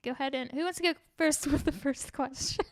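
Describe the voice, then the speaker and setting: A woman's voice: high-pitched, fairly quick, dry recording. A woman in her twenties from Chicago, hosting a casual music podcast in a bubbly, giggly, slangy way. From Chicago, Illinois. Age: 20s. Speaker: podcast host.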